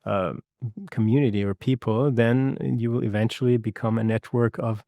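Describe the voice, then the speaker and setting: Hesitant man from Iran, dark voice. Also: mid-range voice, steady tone, normal speed, no room sound. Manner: hesitant, many fillers and restarts, warm laughs. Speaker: guest, Foley mixer. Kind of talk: casual interview conversation.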